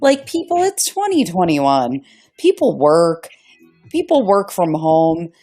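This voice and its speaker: A woman co-hosting a casual podcast, telling stories in a blunt, animated, profane way. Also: lowish voice, slow, faint music behind. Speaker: podcast co-host. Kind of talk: casual conversational podcast chat.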